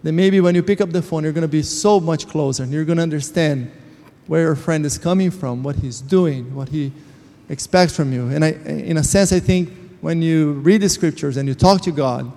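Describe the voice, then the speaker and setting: A man shares a personal faith testimony, earnest and reflective, slowing down on the words that matter to him. His voice sits slightly high for a man. A long reverb from the church hall trails behind every phrase. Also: warm tone. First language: Portuguese. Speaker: church member sharing a testimony.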